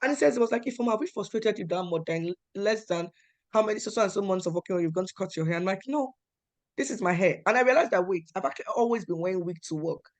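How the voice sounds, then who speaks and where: A young adult woman from Nigeria with a Nigerian accent, telling personal stories at a talk in an animated way and acting out the dialogue. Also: medium pitch, quick pace. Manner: animated, acts out quoted dialogue with emphatic repetition. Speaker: panel speaker.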